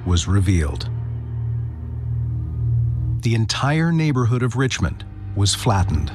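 Male history narrator, animated delivery, deep register, scripted documentary read. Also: takes it slowly. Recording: loud music bed under narration. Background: loud music.

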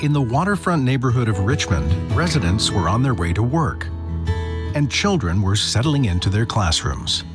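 A man's voice: mid-range voice, very animated, normal speed. A man reading scripted historical documentary narration. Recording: loud music bed under narration. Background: loud music.

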